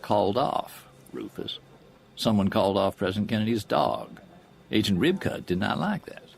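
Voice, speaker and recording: An actor playing a shrewd middle-aged politician in an audio drama, probing and deliberate, with folksy phrasing and a gravelly voice. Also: male voice, fairly low pitch, expressive. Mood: pressing, insistent.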